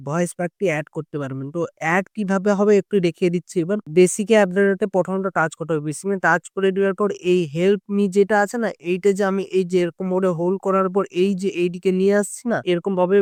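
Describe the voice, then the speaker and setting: Fast-talking young man, higher clear voice. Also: steady tone, dry recording. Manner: instructional, repetitive explaining. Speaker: tutorial video host. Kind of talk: step-by-step mobile game tutorial narration.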